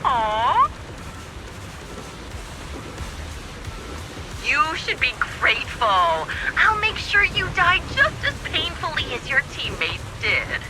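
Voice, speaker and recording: A woman's voice: high-pitched, wildly swooping pitch, unhurried, heavily acted. An actress playing a sadistic, gloating female sniper villain in a video game, mocking and theatrical in her menace. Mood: gloating, cruel. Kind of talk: English game voice acting. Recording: loud music behind the voice. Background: loud game music.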